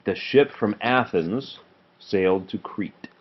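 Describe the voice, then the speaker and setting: A man's voice: on the low side, takes it slowly. A man reading a children's storybook aloud as a parent to a child, in a narrating style. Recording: muffled home recording with clear noise.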